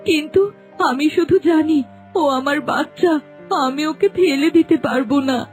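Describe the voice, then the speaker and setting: Woman acting young mom in a cartoon story, really high voice, earnest n pleading. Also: moderate pace, soft background music, lightly acted. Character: pleading young wife and new mother. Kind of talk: animated folk story dialogue.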